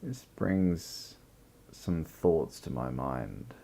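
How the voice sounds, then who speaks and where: Deep, slow, croaky-voiced man. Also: lively intonation, dark tone. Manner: slow, musing, building long lists. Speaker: livestream host. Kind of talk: reflective philosophical chat.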